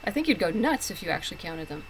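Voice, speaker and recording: A woman's voice: on the low side, big pitch swings, fast, slight background noise. A woman speaking as a writer guest in a casual interview, candid, emphatic and plain-spoken.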